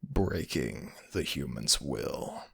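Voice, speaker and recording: A man playing a sadistic, mocking male fox spirit in an ASMR audio roleplay, speaking with slow, theatrical menace. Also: very deep voice, sing-song, gravelly, a bit bright, slightly rough, voice pushed down, full character voice. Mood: silky taunting.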